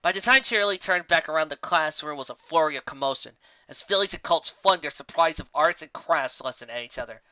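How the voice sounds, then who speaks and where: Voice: mid-range voice, lively intonation, fairly quick, hardly any pauses, sharp tone, no room sound. A narrator reading a fan fiction story aloud as a dramatic audiobook, in a slightly dull, dry recording.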